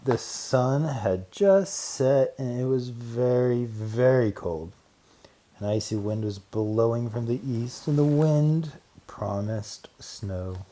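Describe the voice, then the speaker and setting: A man reads a children's story aloud in a mid-pitched voice. He goes very slowly, with deliberate pauses and dramatic emphasis on key words. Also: mellow. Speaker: audiobook narrator.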